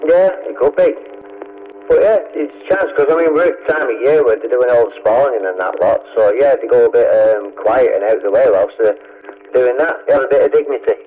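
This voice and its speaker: A man's voice: medium pitch, fairly quick, phone-quality sound. A man with a Northern English accent chatting casually over CB radio, agreeable and inclined to explain things. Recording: noisy, band-limited CB radio transmission.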